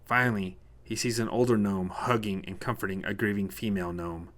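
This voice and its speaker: A man's voice: lowish voice, steady tone, fairly quick, a bit bright. A man reading a scripted short story as narrator, told in the present tense.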